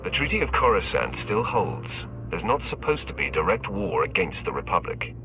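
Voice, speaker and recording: An actor playing a cold, merciless Sith enforcer in a video game, terse and self-assured. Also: male voice, sits low, big pitch swings, normal speed, music in the background. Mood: cool. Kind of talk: dramatic video game acting.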